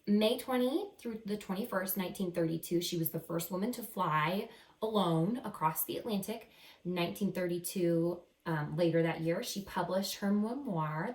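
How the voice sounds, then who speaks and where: A woman reading a picture book aloud to children as a teacher, expressive and encouraging, pausing to explain words simply. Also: fairly low pitch. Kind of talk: animated children's read-aloud with simple asides. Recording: roomy echo, distant microphone.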